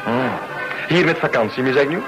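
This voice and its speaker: A man's voice: mid-range voice, moderate pace, over music, lightly acted, poor audio. An actor playing an easygoing, chatty American businessman on holiday in a radio play. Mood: affable.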